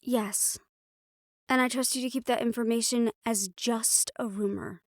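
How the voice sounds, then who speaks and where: A woman playing a calm, protective householder who befriends ghosts, gently firm and reassuring, in an audio roleplay drama. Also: on the high side, measured pace, dry recording.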